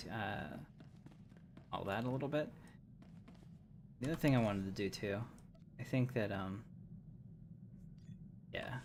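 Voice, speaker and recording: A man's voice: on the low side, noisy background. A man hosting a game livestream, rambling loosely and chuckling as he plays. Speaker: livestream host. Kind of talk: casual gameplay commentary.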